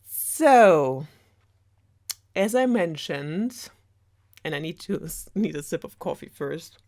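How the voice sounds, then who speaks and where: Female storyteller, slightly low voice. Chatty, sarcastic, loose and rambling delivery, prone to giggles. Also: expressive, slow pace, a little mellow. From Germany. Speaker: storyteller host of a web series. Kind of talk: casual sarcastic fairy tale retelling.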